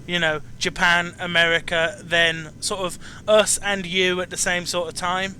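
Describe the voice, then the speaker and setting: A man in his twenties with a British accent, hosting a casual online chat in a halting way, often repeating words. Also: sits high, flat delivery, bright, forceful tone, slight background noise, rough recording. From United Kingdom. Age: 20s. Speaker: podcast host.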